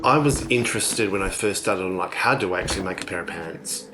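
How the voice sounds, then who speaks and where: A man's voice: sits low, a little fast, noisy background. A man reflecting on his own craft as a maker, in documentary interview style, with thoughtful, hesitant phrasing. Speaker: textile maker. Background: music.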